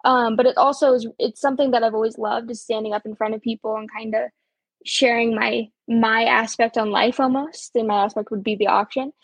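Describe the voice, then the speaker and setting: A teenage girl from Colorado talks through an interview in an upbeat, chatty way, dotting her answers with ums and soft laughs. Her voice sits a little high with a husky, breathy edge. The sound is dry and close. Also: little pitch movement, fairly quick, no echo. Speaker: auctioneer guest. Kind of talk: casual interview chat.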